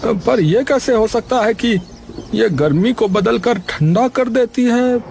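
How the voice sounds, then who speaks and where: A man voicing an elderly, talkative village uncle in a cartoon, with a gravelly voice. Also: extremely high pitch, slow, a little mellow, slight background noise, exaggerated voice. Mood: puzzled. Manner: chatty, proud of his nephew. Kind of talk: cartoon voice acting.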